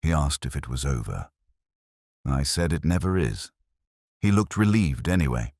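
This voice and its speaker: A man narrating a scripted first-person detective mystery in a measured, solemn, literary manner. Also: rumbling low, expressive, a little mellow, no echo, clean studio sound.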